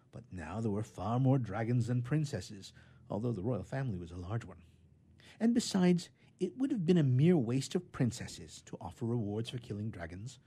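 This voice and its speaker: A man reading a children's tale aloud in an expressive storybook manner, with emphatic swells and drops. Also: medium pitch, breathy edge. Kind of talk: scripted storytelling narration.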